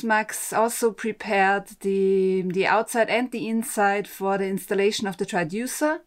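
A woman in her thirties, a sailing vlogger, narrating a boat refit in a relaxed, plain way. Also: mid-range voice. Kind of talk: voice-over narration and casual selfie talk. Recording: music clearly behind the voice.

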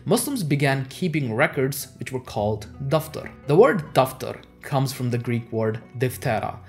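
A young man, a native Urdu speaker, presents scripted educational history narration. His voice sits at a middle pitch and his delivery is explanatory. Music plays behind him. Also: animated, normal speed, a little mellow. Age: young adult. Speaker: history channel host. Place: small home office, indoors. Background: background music.

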